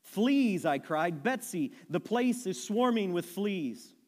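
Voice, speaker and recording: A frightened woman prisoner cries out in alarm, read aloud by a man. Her voice sits fairly high and carries a long reverb, as though spoken across a large hall. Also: animated, slow pace, a little mellow, exaggerated voice. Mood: distressed.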